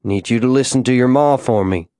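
A man narrating an audiobook, voicing a tender frontier father holding back tears, folksy and gentle. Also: mid-range voice, fairly quick, no room sound, studio quality, natural voice. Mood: tender, resolute. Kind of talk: audiobook character dialogue.

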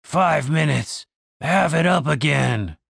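Guy doing a groggy bearded cleric, raspy and dragging. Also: on the high side, lively intonation, a bit bright, no room sound, studio quality, exaggerated voice. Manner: slow, mumbling. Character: groggy bearded man cleric, half asleep.

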